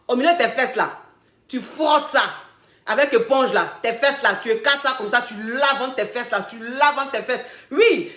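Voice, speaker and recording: High-voiced woman coaching emphatically. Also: reverberant. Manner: emphatic and repetitive, loud bursts on key points. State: slight head cold. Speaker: relationship coach. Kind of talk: live-streamed advice talk.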